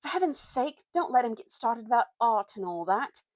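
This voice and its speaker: A woman's voice: fairly high pitch, big pitch swings, normal speed, darkish tone, dry recording. A woman voicing an impatient, dismissive schoolboy with a curt, exasperated manner in a storybook reading.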